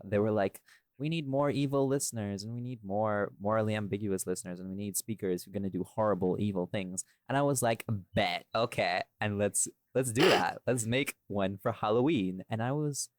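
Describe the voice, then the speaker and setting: A man's voice: fairly low pitch, normal speed, no room sound. A man chatting casually and expressively about his own audio work on a behind-the-scenes podcast. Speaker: audio drama creator, podcast host.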